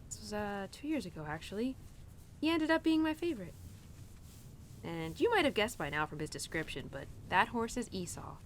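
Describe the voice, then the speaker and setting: A woman acting as an earnest young cowgirl in an intimate audio roleplay, confiding in her horse. Her voice sits a little low for a woman. She rambles hesitantly, stumbling and restarting her sentences, over a rumbly, noisy background. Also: natural voice. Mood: fond, wistful.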